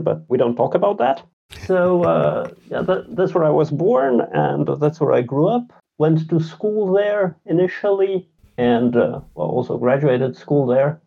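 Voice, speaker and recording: A man in his thirties from Latvia, a game designer talking about himself in a casual podcast interview, halting and self-deprecating. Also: a little high, gravelly, dark tone, slightly rough, muffled recording. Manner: halting, many filler sounds, self-deprecating. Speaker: game designer and programmer, guest.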